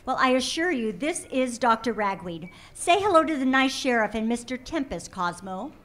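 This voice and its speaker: Casting: woman as a proper, patient young assistant, high and lively. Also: moderate pace. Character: prim young female assistant, secretive.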